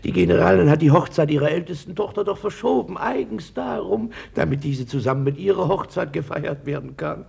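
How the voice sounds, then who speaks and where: A man's voice: fairly high pitch, a little fast, hardly any pauses, heavily acted. An actor playing Lebedev, a fawning, sly male gossip, in a 1950s radio play. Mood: sly mocking. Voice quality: gravelly, husky, oily flattering.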